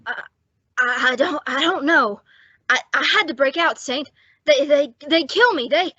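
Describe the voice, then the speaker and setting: A woman playing a frightened, exhausted young man on the run in an old-time radio drama, halting and pleading. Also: high voice, bright, forceful tone, no echo. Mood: scared, faltering. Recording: dull, muffled close dry sound.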